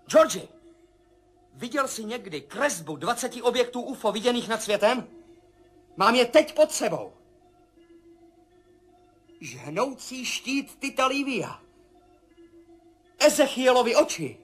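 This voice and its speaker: An actor playing a man adrift in a dreamlike vision in a 1970s radio play, with a husky voice and an urgent, awed way of talking. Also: extremely high pitch, sing-song, pauses often, a bit bright, faint music behind, full character voice. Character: astronaut Tom, adult man, anxious and searching. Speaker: narrating lead character. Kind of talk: 1970s radio drama acting.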